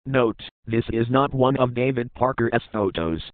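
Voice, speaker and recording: A man's voice: mid-range voice, lively intonation, unhurried, no room sound, narrow, tinny audio. A man reading scripted horror narration in the manner of a documentary report.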